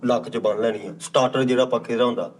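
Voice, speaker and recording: A man with a mid-pitched voice, casually joking as he hands out silly home remedies. There is faint noise behind him. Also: fairly even intonation, fast.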